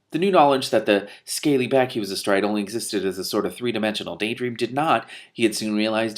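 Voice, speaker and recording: A man with a fairly low voice reads a fantasy novel aloud. His delivery is expressive, and he serves as both reader and commentator.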